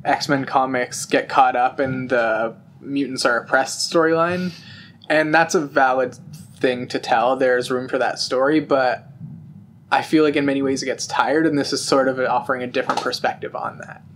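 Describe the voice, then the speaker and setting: A young man co-hosting a podcast in an easygoing, agreeable way, with a voice of ordinary pitch. He often chimes in with short affirmations before offering his own take. Faint background noise runs under the talk. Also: fairly even intonation, normal speed, a bit bright. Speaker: podcast co-host. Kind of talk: casual chat about a TV episode.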